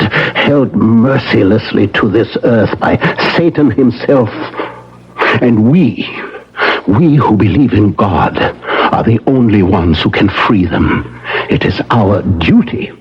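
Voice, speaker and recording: An actor playing a solemn, insistent professor who hunts vampires, speaking huskily with portentous pauses in 1970s radio drama style, on a muffled broadcast. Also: male voice, lowish voice, lively intonation, husky, heavily acted. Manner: deliberate, portentous, emphatic repetitions and dramatic pauses.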